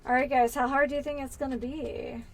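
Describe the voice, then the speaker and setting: A woman's voice: sits high, fairly even intonation, fairly quick, a little hiss or hum. A woman with a Californian accent streams a game and chats as she plays. Her voice sits a little above the usual female range. She talks in a loose, rambling way and strings thoughts together with easy asides. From Pennsylvania. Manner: chatty and rambling. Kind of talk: casual gameplay chat.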